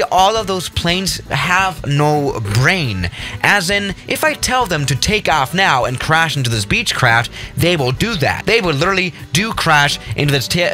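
A man's voice: fairly high pitch, bright, forceful tone. A young adult man giving casual, jokey gameplay commentary with a sarcastic, mock-exasperated manner. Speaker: gaming video host.

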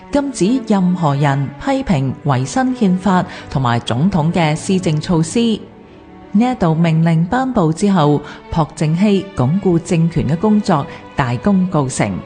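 Low-voiced Hong Kong woman narrating over music. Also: mellow. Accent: Hong Kong Cantonese. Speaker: narrator of a radio history programme. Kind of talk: scripted documentary narration. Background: music behind the voice.

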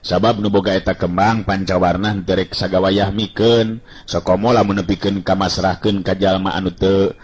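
A man voicing a calm, knowing guide in a radio story, explaining things seriously and cautiously in a measured way. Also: sits low, breathy edge. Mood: earnest explaining. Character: calm, knowledgeable male guide, earnest and cautionary.

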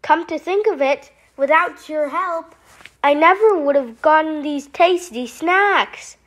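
A woman's voice: high-pitched, slow, fairly bright tone. A woman voicing a fussy, tidy frog who is dramatic and easily horrified, in a theatrical children's storybook read-aloud. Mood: content. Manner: theatrical, exclaiming.

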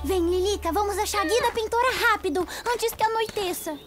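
A woman's voice: very high voice, fairly quick. A woman with a Brazilian accent voicing a sweet, earnest little girl in a children's cartoon, with a childlike, exclamatory manner. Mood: worried, earnest. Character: sweet, earnest little girl, wonder-struck young artist. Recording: children's cartoon music behind.

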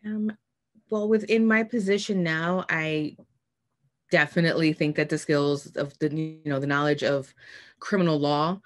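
A middle-aged American woman explaining her work on a video-call panel in a measured, halting way. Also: sits low, fairly even intonation. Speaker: panelist, court worker. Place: indoors, home office. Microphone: video call computer microphone.

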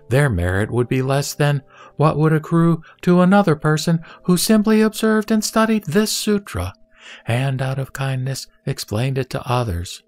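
Serene buddha teaching a disciple, done by a guy, solemn and measured, scripture audio drama, music behind. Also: medium pitch. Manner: measured, solemn, didactic delivery. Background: background music.

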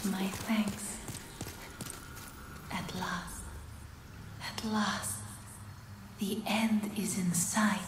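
An actress playing a serene, mystical maiden guide in a dark fantasy video game, speaking with solemn, gentle, archaic phrasing. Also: female voice, medium pitch, level tone, extremely slow, pauses often, background noise. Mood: serene, grateful. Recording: echoing voice with music beneath.

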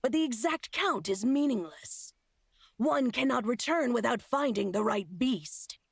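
Husky, creaky feral huntress. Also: female voice, on the high side, expressive, no room sound, clean studio sound, full character voice. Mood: solemn, proud. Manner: slow, cryptic, solemn speech. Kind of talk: fantasy game character acting.

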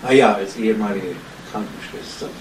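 A man's voice: lowish voice, a little fast, a little hiss or hum, close to an everyday voice, poor audio. An actor playing a police detective in a radio crime drama, matter-of-fact, politely probing with his questions. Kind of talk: 1970s German radio crime drama acting.

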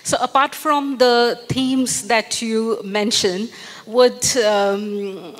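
A woman's voice: fairly high pitch, steady tone, extremely slow. Woman moderator, rambling questions with lots of fillers, handheld mic, long hall reverb. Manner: many fillers, laughs softly. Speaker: panel moderator. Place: large event hall with an audience.

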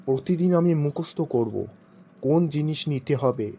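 An aggrieved young cartoon man voiced by a male actor with faint noise behind him. He complains in a raised, slightly high voice, comic-skit style. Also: normal speed, mellow, lightly acted. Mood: fretful resolve. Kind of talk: cartoon joke skit voice-over.

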